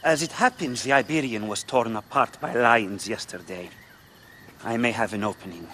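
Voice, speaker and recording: A male actor voices a wary arena boss who talks in a cool, matter-of-fact way. The voice is low with a hard bright edge, over faint night ambience, in game-drama style. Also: moderate pace, slight background noise, close to an everyday voice. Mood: cool, appraising. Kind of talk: dramatic video game acting.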